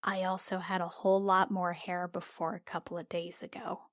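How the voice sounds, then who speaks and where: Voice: medium pitch, level tone, normal speed, a bit bright, no room sound, studio quality, pitched below the performer's own voice, natural voice. A woman voicing an aggrieved, pointedly unimpressed wife in a tabletop game.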